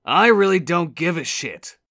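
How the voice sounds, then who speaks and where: A man's voice: fairly high pitch, expressive, a little slow, creaky, a bit bright, no room sound, studio quality, heavily acted. Man voicing an abrasive, swooping-voiced teenage boy. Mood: grudging, irritated. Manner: brusque, dismissive. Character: gruff, abrasive, hot-tempered teenage boy. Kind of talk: amateur comic dub acting.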